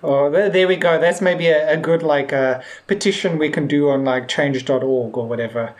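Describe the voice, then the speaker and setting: A man's voice: a little high, moderate pace. A man hosting a podcast in casual chat, speaking in a hesitant, rambling and tentative way. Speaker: podcast host.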